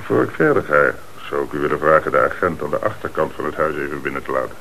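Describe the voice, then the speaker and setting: An actor in a Dutch radio play playing a police commissioner who puts terse, probing questions with businesslike authority. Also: male voice, rumbling low, fast talker, creaky, sharp tone, exaggerated voice. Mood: official, firm. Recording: noisy old radio play recording.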